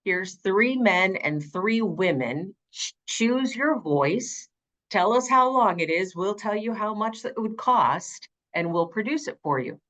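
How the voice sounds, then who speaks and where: A woman's voice: lively intonation, a little slow, fairly bright tone, poor audio. A middle-aged woman with an alto voice, telling her story emphatically as an interview guest. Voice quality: low alto, changed by vocal nodules. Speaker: guest, audiobook narrator.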